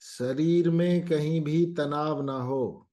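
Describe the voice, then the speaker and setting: A man guiding a meditation as an instructor, speaking calmly in short phrases with long pauses. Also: a little high.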